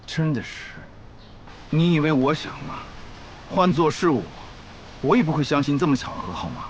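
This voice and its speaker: A man's voice: fairly high pitch, normal speed, highs cut off, a little hiss or hum, natural voice. An actor playing an earnest, aggrieved, lovelorn man in a screen drama. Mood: dejected.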